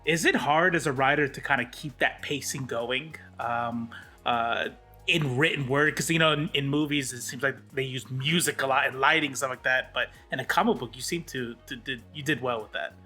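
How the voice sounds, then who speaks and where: A man's voice: mid-range voice, moderate pace, bright, forceful tone, soft background music. A man hosting a casual interview in an enthusiastic, jokey way. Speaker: podcast host.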